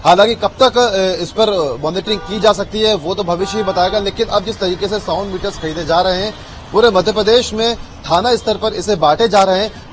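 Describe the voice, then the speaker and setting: Guy reporter with high voice, hesitant live update, bit of noise behind. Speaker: news correspondent. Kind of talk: unscripted live news analysis. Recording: live link with faint background noise.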